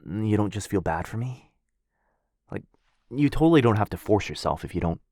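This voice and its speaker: A man playing a shy, sweet, earnest dog-person roommate in an intimate boyfriend ASMR roleplay, speaking softly and hesitantly. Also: on the low side, lively intonation, no echo, studio quality. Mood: hopeful, touched. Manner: soft, hesitant, halting, bashfully rambling.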